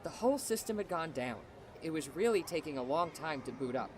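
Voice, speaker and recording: A woman's voice: low voice, expressive, a little fast, slight background noise. A woman playing a snippy, put-upon mall Christmas elf in a radio drama, huffy and chatty. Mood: worried.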